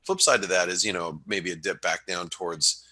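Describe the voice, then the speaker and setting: A man with a low, husky voice that is stuffed up and nasal from a cold. He talks through market levels in a loose, stop-start way, full of fillers and restarts. Under the congestion, his tone stays bright and forceful. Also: fairly even intonation. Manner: halting, many restarts and fillers. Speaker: webinar host giving market analysis. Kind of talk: casual trading commentary.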